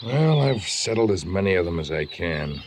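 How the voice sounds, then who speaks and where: A grave, brave lord of the jungle in an old radio adventure. His voice is deep, his phrasing formal and eloquent. There is faint noise on the vintage recording. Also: big pitch swings, normal speed. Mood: grave, reflective.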